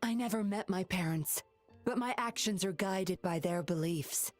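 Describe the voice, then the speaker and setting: An actress playing a mysterious former patroller in a video game, composed, firm and solemn. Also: female voice, mid-range voice, normal speed, faint music behind, lightly acted. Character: mysterious, resolute former patroller woman, cool and secretive. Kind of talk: dramatic video game voice acting.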